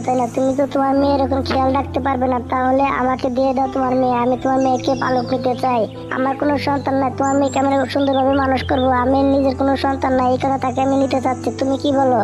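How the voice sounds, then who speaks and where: A woman's voice: high-pitched, pitched above the performer's own voice, close to an everyday voice. A woman voicing an earnest, determined pigeon in a children's cartoon, insisting on helping. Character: pleading, determined pigeon rescuer of an orphan chick. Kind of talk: children's cartoon story voice acting.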